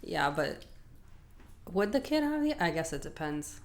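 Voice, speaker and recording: A young woman from Miami hosts a podcast and reads out fan questions. She teases and talks bluntly, laughing easily. Her voice sits a bit low. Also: sing-song, unhurried. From Miami, Florida. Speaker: podcast host. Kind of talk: casual chat.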